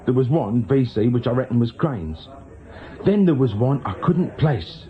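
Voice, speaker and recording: A man's voice: mid-range voice, lively intonation, moderate pace, muffled recording, lightly acted. A man acting a nervous, evasive small-time crook with a British accent in a radio drama, stammering and justifying himself. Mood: eager, uneasy. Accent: British English.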